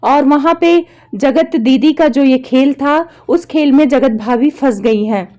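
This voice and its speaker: High, mellow woman's commentary voice. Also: a little slow, lo-fi sound. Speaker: reaction vlogger presenting recordings. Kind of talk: gossip commentary addressed to viewers.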